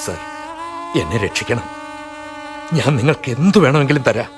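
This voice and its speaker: A man's voice: mid-range voice, big pitch swings, normal speed, background noise, natural voice. A man playing a desperate husband in a radio drama, who pleads and sometimes rages. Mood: anguished. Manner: emotional, pleading, sometimes outraged. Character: desperate husband, middle-aged schoolmaster. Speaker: husband and narrator.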